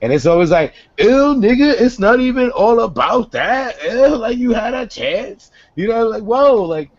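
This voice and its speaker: A young man, hosting a casual video-call chat, animated and profane, swinging between a low voice and a high one. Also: moderate pace, muffled recording. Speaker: host. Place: small home room indoors. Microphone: headset on a video call.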